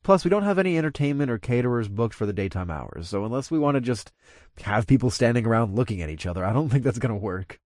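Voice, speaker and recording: Club boss hero played by a man: practical, easygoing, animated audiobook dialogue, close and dry. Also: medium pitch, quick pace, no echo, natural voice. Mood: tired, practical.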